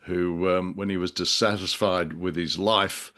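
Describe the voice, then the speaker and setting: A man co-hosting a conversational discussion, speaking in halting, wordy phrases. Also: low voice, fairly even intonation, fairly bright tone. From Australia. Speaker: podcast co-host. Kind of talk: conversational discussion of classic radio drama.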